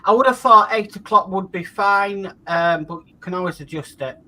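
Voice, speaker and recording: A man's voice: sits high, moderate pace, pushed and bright, rough recording. A man hosting a casual live stream and reading out viewer comments. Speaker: host.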